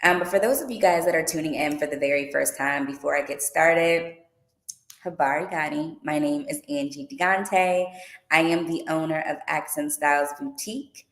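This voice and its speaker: Chatty low-voiced woman, echoey room. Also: normal speed, a bit bright. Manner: chatty, upbeat, frequent fillers. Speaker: tour organizer and boutique owner. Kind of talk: casual livestream Q and A.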